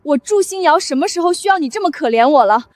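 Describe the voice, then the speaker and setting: A feisty young office heroine from an animated romance drama. Her voice is high and close-miked dry, and she challenges people with indignant, flustered questions. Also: quick pace, dry recording. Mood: frustrated.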